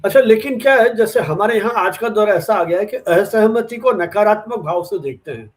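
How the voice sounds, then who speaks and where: Male host, notably high pitch. Conversational questioning, built up phrase by phrase. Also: expressive, normal speed, background noise. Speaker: interviewer, host.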